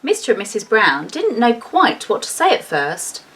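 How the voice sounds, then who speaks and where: A woman's voice: a little high, wildly swooping pitch, moderate pace, fairly bright tone. A woman reading a storybook to children expressively, with chatty, informal asides as she shows the pictures. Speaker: school teacher reading a storybook aloud. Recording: distant mic with faint background noise.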